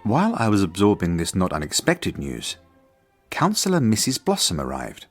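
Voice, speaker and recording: A man's voice: sits low, huge pitch swings, moderate pace, husky, faint music behind, exaggerated voice. An actor playing a prim, earnest headmaster in a radio drama, formal and easily flustered as he tells his story. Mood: prim recounting. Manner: formal, proper, awkward. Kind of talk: radio drama narration and dialogue.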